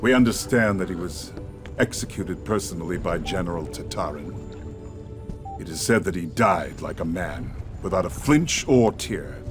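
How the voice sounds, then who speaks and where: A composed resistance strategist from a video game. His voice is on the low side, formal and measured, with music playing behind it. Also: expressive. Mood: grave sympathy. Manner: formal, measured, clipped phrasing. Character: composed, scheming male resistance intelligence adviser. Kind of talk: video game cutscene acting.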